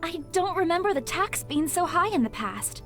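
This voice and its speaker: A woman's voice: very high voice, animated, normal speed, a bit bright. A woman voicing an earnest, fair-minded young female mage-knight in a fantasy visual novel game. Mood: puzzled, protesting. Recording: music behind the voice.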